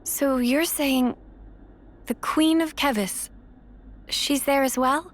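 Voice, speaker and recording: High-voiced earnest young warrior. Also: female voice, takes it slowly, a little hiss or hum. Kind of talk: video game voice acting.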